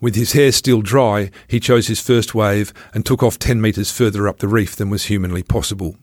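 A man's voice: fairly low pitch, steady tone, normal speed, darkish tone, no echo, studio quality. A man reading his own travel memoir aloud as scripted first-person narration.

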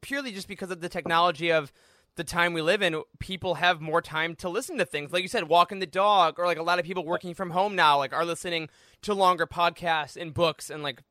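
A man's voice: high-pitched, steady tone, brisk, bright, forceful tone, dry recording, clean studio sound. A man co-hosting a casual podcast interview in an agreeable, informal way. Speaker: podcast co-host.

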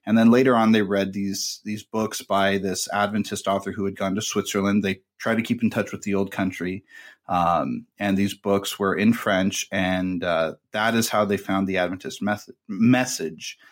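A man's voice: sits low, fairly even intonation, normal speed. A man hosting a podcast, telling a long family story in a rambling, casual way with many fillers. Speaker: podcast host. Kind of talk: casual conversation.

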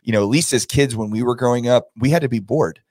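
A man's voice: medium pitch, fast, darkish tone, no room sound, clean studio sound. A middle-aged American man hosting a podcast, talking casually and rambling as he thinks aloud. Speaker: podcast host. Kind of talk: casual conversational interviewing.

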